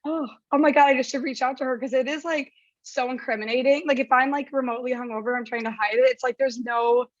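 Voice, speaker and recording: A raspy-voiced young woman talks in a loose, rambling way, packing her sentences with fillers. Her voice sits fairly high. The sound is dry and slightly muffled. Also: steady tone, fast talker, no room sound. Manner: chatty, heavy use of "like". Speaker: podcast guest. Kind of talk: casual chat.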